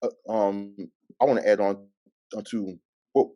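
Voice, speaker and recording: A man with a lowish, mellow voice speaks slowly and reflectively. His stutter makes him stall and repeat words, yet he keeps a measured delivery. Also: fairly even intonation, dry recording, dull audio. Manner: halting, measured, frequent stalling. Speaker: panelist sharing advice. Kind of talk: reflective advice and personal anecdote.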